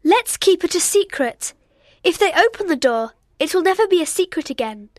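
Woman voicing a high-pitched inquisitive young girl. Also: sing-song, normal speed, no echo, studio quality. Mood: urgent. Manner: direct, questioning. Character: inquisitive, plain-spoken ten-year-old girl. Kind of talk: storybook character reading.

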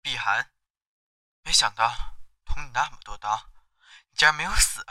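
Sharp, menacing male villain, acted. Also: medium pitch, normal speed, no echo. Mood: menacing, taunting. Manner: taunting, cold. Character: menacing fugitive villain, vengeful knife attacker. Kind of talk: Chinese audio drama acting.

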